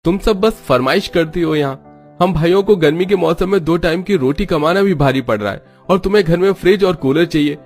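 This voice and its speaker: Casting: plain-spoken rural husband, male actor, hard-working, easily exasperated, colloquial, soft music bed. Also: on the high side, a little fast, close to an everyday voice.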